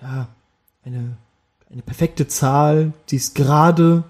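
Casual podcast co-host, man, slightly high, husky voice, rambling and jokey. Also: steady tone, slow pace, darkish tone. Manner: rambling, jokey, trails off mid-sentence. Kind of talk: casual chat.

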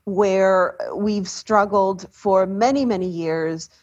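Husky, measured woman, muffled recording. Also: mid-range voice. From Ecuador. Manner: measured, deliberate, trailing off softly. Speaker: panel speaker, development expert. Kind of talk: panel discussion. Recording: dull lo-fi sound.

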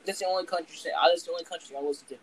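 A man's voice: fairly high pitch, rapid-fire, crowd noise, rough recording. Young man, profane rambling podcast talk. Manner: profane, rambling, animated storytelling. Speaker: podcast co-host. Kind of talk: casual podcast chat.

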